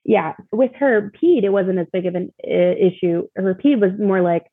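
A woman's voice: medium pitch, moderate pace, husky, no room sound. A young woman guest telling a personal story casually and candidly, in informal speech peppered with "like". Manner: informal, candid, often uses "like". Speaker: interview guest, mother sharing her experience. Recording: thin, phone-like call audio.

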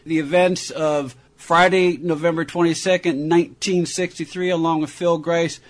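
A man's voice: on the high side, fairly even intonation, moderate pace. A man hosting a radio talk show, presenting and discussing with guests.

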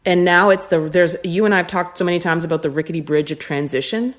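A woman with a low voice speaks in a casual, reflective podcast style. She thinks aloud as she goes, often stopping to rephrase and putting questions to herself. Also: fast. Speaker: guest, touring musician.

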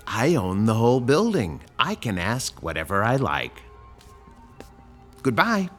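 Courteous, sinister landlord, swooping intonation. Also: male voice, mid-range voice, wildly swooping pitch, fairly bright tone, soft background music. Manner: formal, polite, measured, evasive. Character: courteous, faintly sinister male landlord of an apartment building. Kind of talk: modern audio drama acting.

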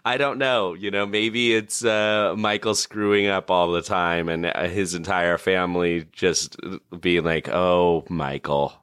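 Low-voiced man hosting a podcast. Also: steady tone, measured pace, hardly any pauses, fairly bright tone, clean tone, dry recording, clean studio sound. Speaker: podcast host. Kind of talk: casual podcast chat.

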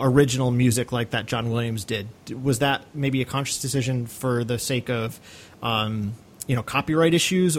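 Rambling man hosting, mid-pitched voice. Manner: rambling, restarts his questions. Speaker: podcast host. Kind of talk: casual interview chat.

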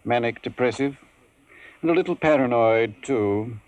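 A guarded, sardonic long-term patient played by a man in a vintage radio drama. His medium-pitched voice is measured and knowing, the delivery that of fifties radio acting. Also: no room sound, dull audio, lightly acted. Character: wary, intelligent, sardonic long-term male sanatorium patient. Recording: old radio broadcast.

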